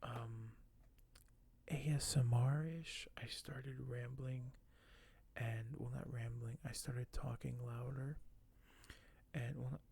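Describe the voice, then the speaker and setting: A man speaks softly as an ASMR host, often slipping into a breathy whisper. His voice is mellow with some creak in it, and his pitch barely moves. He takes his time, hesitant and a little apologetic. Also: steady tone. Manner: slow, hushed, hesitant, apologetic. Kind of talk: ASMR unboxing, soft-spoken.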